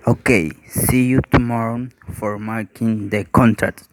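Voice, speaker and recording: Halting low-voiced man interviewing, noisy recording. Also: steady tone. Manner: halting, imperfect non-native English. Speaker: job interviewer in a role-play. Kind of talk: rehearsed interview practice in English. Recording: clearly noisy, clicky recording.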